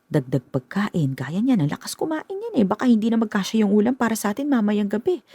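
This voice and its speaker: A woman's voice: mid-range voice, sing-song, brisk, mellow, slightly rough, no echo. An actress playing a tired middle-aged mother who complains about an extra person in the house, in a radio drama. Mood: complaining. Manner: complaining, weary. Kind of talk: Filipino radio drama acting.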